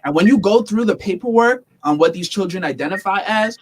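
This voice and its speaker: Podcast host, young man, fairly high-pitched, talks in animated bursts and repeats himself to drive points home. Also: brisk, breathy edge. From United States. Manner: animated, emphatic, repeats words while building points. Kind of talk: casual podcast discussion.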